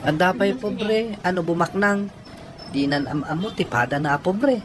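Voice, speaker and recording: Low-voiced gentle young man acted by a woman. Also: a little slow, noisy background, lightly acted. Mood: calm. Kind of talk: acted Ilocano audio drama.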